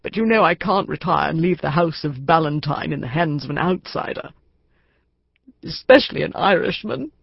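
A woman reader voicing a despairing older male company chairman who wails his bitter complaints slowly. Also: mid-range voice, sing-song, vocal fry, husky, no echo, highs cut off, full character voice. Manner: wailing, bitter, slow.

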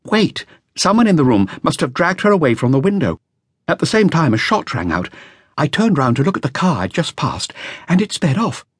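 Man voicing a young male flautist, nervous, earnest, high-pitched, lively, dry sound. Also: moderate pace, breathy edge, dry recording. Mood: anxious. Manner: anxious, breathless, earnest.

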